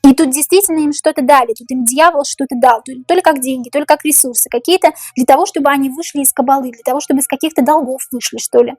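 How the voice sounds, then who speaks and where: A woman doing a tarot reading, repeating each question slowly and musing aloud over the cards, her voice tiring and going hoarse. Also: high voice, darkish tone, dry recording, soft background music. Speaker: tarot reader.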